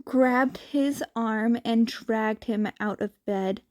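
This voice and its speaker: A woman's voice: on the high side, slow pace, darkish tone, dry recording, studio quality. A woman narrating fan fiction as a scripted story reading.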